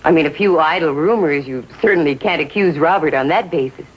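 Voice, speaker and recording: A woman's voice: low-pitched, a little fast, slightly rough, muffled recording. A woman playing a middle-aged American mother in a 1950s TV drama, genteel, earnest and insistently defensive. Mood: politely defensive. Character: defensive, trusting, genteel mother of a teenage boy.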